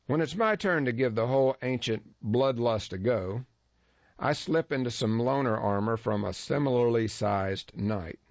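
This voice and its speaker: A man's voice: mid-range voice, normal speed, dry recording. A man reading a first-person feature story aloud in a wry, dryly humorous way. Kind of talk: scripted first-person narration. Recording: dull lo-fi dry recording.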